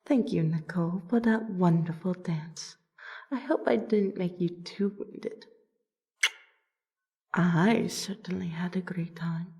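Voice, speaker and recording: A woman's voice: lowish voice, warm tone. A woman playing a teasing, affectionate vampire friend in an ASMR roleplay, chatty and theatrical. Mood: playful, gracious. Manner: teasing, conversational, with dramatic pauses and exclamations. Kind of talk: ASMR roleplay audio drama.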